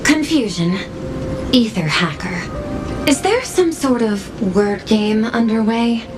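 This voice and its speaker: A woman's voice: a little high, lively intonation, slow pace, poor audio. A woman voicing a coolly logical android analyst in a video game, reporting in a clinical, formal way with clipped labels before statements. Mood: clinical, detached. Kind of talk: scripted game dialogue. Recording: game audio under loud music.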